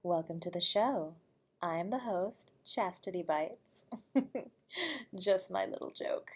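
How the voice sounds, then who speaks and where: A woman's voice: medium pitch, animated, slow, heavily acted. A woman playing a whispery undead horror host. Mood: theatrical. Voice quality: soft, whispery and breathy. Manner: courteous and teasing, chuckling often. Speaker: late-night horror radio host. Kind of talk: audio roleplay addressing the listener.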